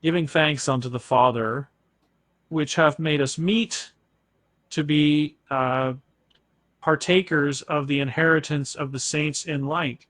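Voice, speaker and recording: A man's voice: on the high side, fairly even intonation, slow pace, a bit bright, no room sound. A synthetic male voice reciting a scripture verse with a stray filler sound.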